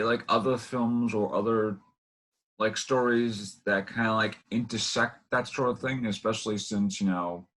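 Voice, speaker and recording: A man hosting an interview, asking casual questions in halting, meandering phrases. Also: medium pitch, sharp tone, low-quality recording. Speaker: interview host.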